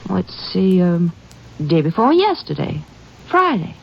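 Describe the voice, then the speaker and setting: A woman's voice: on the low side, sing-song, unhurried, creaky, exaggerated voice. An actress playing a talkative, gossipy young rural woman. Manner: chatty, folksy gossip. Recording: dull old radio broadcast with faint hiss.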